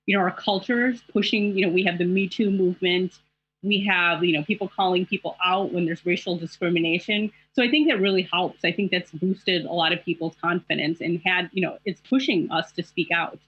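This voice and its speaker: Middle-aged lady journalist on a video call, candid and reflective, lots of ums, lowish voice, dull dry sound. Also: steady tone, fairly quick, husky, no room sound. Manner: reflective, candid, many fillers. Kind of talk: panel discussion answer.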